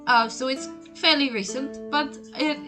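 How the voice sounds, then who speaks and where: A woman in her twenties running a tabletop game on a group video call, chatty and quick to laugh. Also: high voice, steady tone, music in the background. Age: 20s. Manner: giggly, chatty, rambling narration. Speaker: game master.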